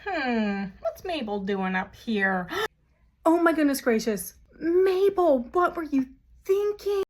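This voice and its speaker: A woman reading aloud to young children in an expressive, theatrical way, with exaggerated reactions. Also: high voice, slow. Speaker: children's story reader.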